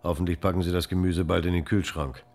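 An actor playing a cynical, cruel veteran hospital orderly in a radio drama, callous and sneering. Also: male voice, steady tone, fast, darkish tone. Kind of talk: German radio drama acting. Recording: echoey room with low hum.

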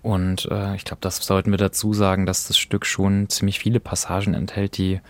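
A man hosting a cultural podcast, speaking earnestly in careful, measured and reflective phrases. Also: deep voice, monotone, no echo. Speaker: podcast host introducing radio plays.

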